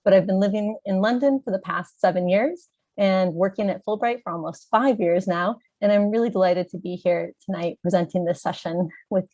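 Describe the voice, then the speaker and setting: An American woman with a Chicago accent presents a webinar. She sounds a little congested, clearing her throat now and then, and speaks in a clear, organized way. The audio is muffled and dry, like a video call. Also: mid-range voice, moderate pace, mellow, no echo. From Chicago, USA. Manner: clear, organized, with frequent "um" fillers. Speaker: webinar presenter, education advisor.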